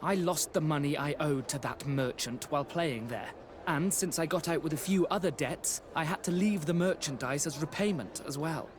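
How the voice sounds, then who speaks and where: Guy doing a snooty young fop for a game, highish voice, flowery manners, street noise behind. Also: normal speed, few pauses, close to an everyday voice. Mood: haughty, breezy. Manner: affected, flowery politeness. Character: foppish, haughty young aristocrat man, flippant gambler. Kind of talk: video game cutscene acting. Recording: clear outdoor ambience noise.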